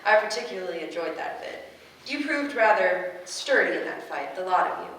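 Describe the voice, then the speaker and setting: A woman's voice: on the low side, animated, normal speed, a bit bright, slight background noise. A woman playing a haughty, reserved fighter in a staged play reading, speaking formally and with measure. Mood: grudgingly approving. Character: haughty, reserved fighter grudgingly praising teammates. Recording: very echoey room, distant mic.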